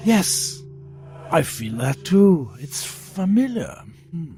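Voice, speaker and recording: An actor playing a sinister, centuries-old piper, slow and theatrical as he muses in an audio drama. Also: on the high side, sing-song. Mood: sinister musing. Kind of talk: dramatic audio fiction acting. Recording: voice under prominent music.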